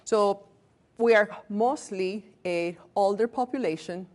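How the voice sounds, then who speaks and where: A Venezuelan-accented woman in her late thirties gives a training talk to a small group in a room. She speaks candidly, pokes fun at herself and breaks off to restart words. Her voice sits at an ordinary middle pitch for a woman. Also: takes it slowly. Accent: Venezuelan Spanish. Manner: candid and self-deprecating, joking asides, restarts words. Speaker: physician giving a staff training. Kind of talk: lecture to a workplace group.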